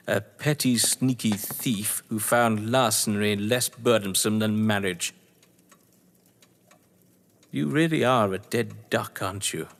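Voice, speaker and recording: An actor playing a cold, gloating crime novelist and betrayed husband in an audio drama, speaking with eloquent, taunting menace. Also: male voice, fairly low pitch. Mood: smug contempt. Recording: reverberant hall-like room.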